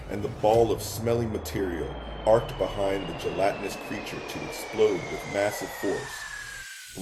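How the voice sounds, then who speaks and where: A man's voice: fairly low pitch, a little mellow. A man reading scripted, descriptive fantasy narration for an audio drama.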